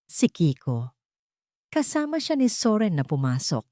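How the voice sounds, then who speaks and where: A Filipino woman narrates a dramatic audio story in the first person, her voice sitting low. The recording is dry and close, with no room around it. She pushes her pitch and volume up when she voices other people's lines. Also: very animated, measured pace, dark tone, no echo. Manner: rises in pitch and volume for quoted dialogue.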